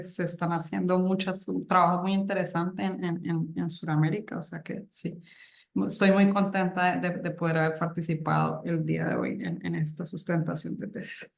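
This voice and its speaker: A woman's voice: mid-range voice, a bit bright. A woman on a thesis jury asking questions, hesitant and full of fillers and restarts. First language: Spanish. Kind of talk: academic questioning at a thesis defense. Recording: echoey room.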